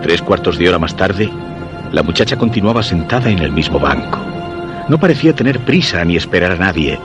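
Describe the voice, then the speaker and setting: Casting: obsessive, lovesick male diarist, Spain accent, low voice, measured radio-play narration with music underneath. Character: lovesick, obsessive office worker narrating his diary. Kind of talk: dramatic first-person radio narration.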